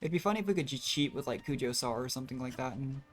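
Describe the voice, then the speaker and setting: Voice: mid-range voice, lively intonation, racing. A game streamer giving casual live commentary, thinking aloud in an offhand way. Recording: music behind the voice. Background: game music.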